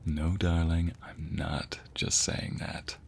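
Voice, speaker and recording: Casting: male actor as devoted, flirty husband for ASMR romance audio; deep, crackly, whispery voice, tender and lingering delivery. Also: monotone, exaggerated voice. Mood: tender, sincere. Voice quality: low, breathy, gravelly murmur, often dropping to a whisper. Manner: tender, slow, sighing delivery. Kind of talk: ASMR romantic roleplay, intimate monologue.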